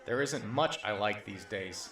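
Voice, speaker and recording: A man's voice: lowish voice, animated, unhurried, a bit bright. A man playing a jumpy, cynical survivor sidekick in an apocalyptic audio drama, with a sardonic, dramatic delivery. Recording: roomy echo, faint dramatic music behind.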